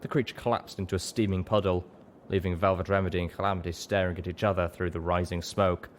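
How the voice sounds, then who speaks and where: A man's voice: low voice, little pitch movement, fast talker, slight background noise. A man reading a story aloud as scripted, dramatic narration. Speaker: audiobook narrator.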